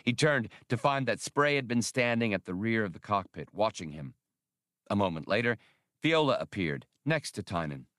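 Low-voiced man reading fiction, animated. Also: moderate pace, no echo, clean studio sound. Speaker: audiobook reader. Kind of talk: scripted fiction narration.

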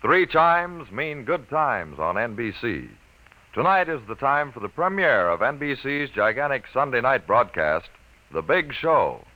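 A man's voice: mid-range voice, big pitch swings, unhurried, sharp tone. A man announcing in a dramatic 1950s radio style. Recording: noisy old radio broadcast.

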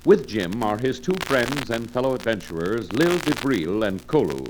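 A male announcer narrates an adventure serial in vintage radio style. His delivery is emphatic and very animated, with a medium pitch that swings widely. The old recording is clearly noisy. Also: normal speed. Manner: dramatic, emphatic declaiming. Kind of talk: 1940s-50s radio serial scripted narration.

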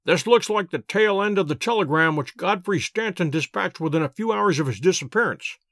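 A man reading an audiobook, voicing a calm male detective who explains his reasoning in a measured, precise, lecturing way. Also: sits high, no echo, clean studio sound. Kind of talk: audiobook character voice.

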